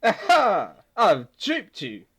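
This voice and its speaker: A woman's voice: mid-range voice, huge pitch swings, takes it slowly, fairly bright tone, breathy edge, full character voice. A woman voicing a gloating, scheming villain in a parody cartoon dub.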